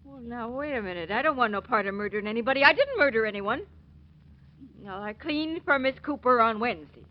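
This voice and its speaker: A defensive American scrubwoman in an old radio crime drama, acted by a woman. Her high voice leaps up and down as she answers bluntly in plain working-class speech. The vintage recording sounds dull. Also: animated, brisk, lightly acted. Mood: alarmed, wary. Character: stubborn working-class female scrubwoman, wary of police. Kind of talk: 1940s radio drama acting.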